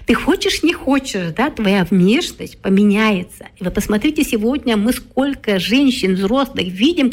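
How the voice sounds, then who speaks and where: A woman in her forties speaking as a talk-show guest expert, her voice sitting a little high. She explains at a measured pace, pausing often and checking in with little "right?" tags. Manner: measured and lecturing, with frequent "right?" tags and pauses.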